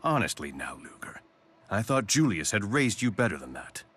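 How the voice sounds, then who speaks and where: A commanding, condescending man from a video game. His voice is low and expressive, with stern, deliberate phrasing over faint background noise. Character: haughty, measured, commanding man of authority.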